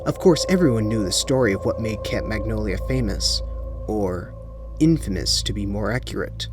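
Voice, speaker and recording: A man's voice: lowish voice, lively intonation. Man narrating horror over music. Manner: dramatic, deliberate storytelling. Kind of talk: scripted spooky story narration. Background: music bed under the voice.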